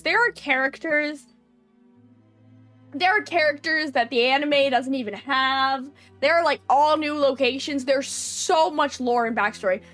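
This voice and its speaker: A woman's voice: high-pitched, fairly bright tone. A young woman streamer chatting casually with her viewers, her voice nasal and stuffy from a runny nose, full of fillers and giggles. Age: young adult.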